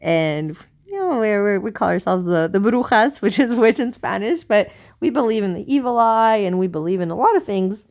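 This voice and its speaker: A woman's voice: medium pitch, animated, normal speed, few pauses, darkish tone. A woman co-hosting a podcast in casual conversation, talking in an earnest, rambling, musing way. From South America. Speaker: podcast co-host.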